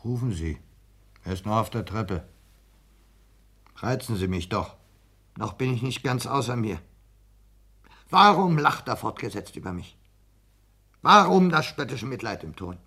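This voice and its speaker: An actor playing a frail, exalted, lovesick barber in a 1960s German radio play, aggrieved and prone to passion. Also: male voice, medium pitch, expressive, normal speed, long pauses, pushed and bright, breathy edge, exaggerated voice. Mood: impassioned, hurt. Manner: aggrieved, brooding, flares into passion.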